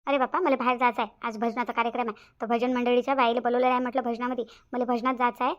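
A woman's voice: a little high, level tone, very fast, lo-fi sound, natural voice. A woman voicing a fussy, nagging middle-aged village mother in a cartoon, repeating herself in a rural Maharashtrian accent. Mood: fussing. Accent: rural Maharashtrian Marathi. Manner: nagging, repetitive. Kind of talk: cartoon comedy dialogue.

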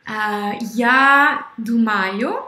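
A young woman with a French accent, speaking slowly and haltingly on a video call, searching for words with many fillers. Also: on the high side, pushed and bright, reverberant. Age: young adult. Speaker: language-learning video host. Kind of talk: halting conversation in a learned language. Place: small room indoors. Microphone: red headphones, webcam video call.

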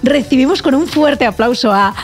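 A young woman hosting a casual podcast, reading sponsor lines and introducing a guest in a lively way, close on a desk mic in a studio. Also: high voice, moderate pace, over loud music. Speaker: podcast host. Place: podcast studio with plants and a backdrop.

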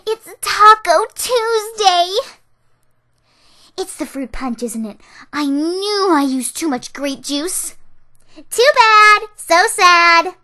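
A woman's voice: very high voice, animated, takes it slowly, sharp tone, full character voice. A woman voicing a ditzy, bubbly, naive teenage siren girl from a cartoon in a chirpy, airheaded way. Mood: perky, naive. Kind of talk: cartoon character voice reel.

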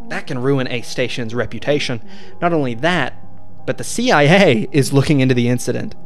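A man hosting a video essay, reading scripted narration with a deliberate, dramatic storytelling delivery. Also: mid-range voice. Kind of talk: scripted narration of horror series recap. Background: background music.

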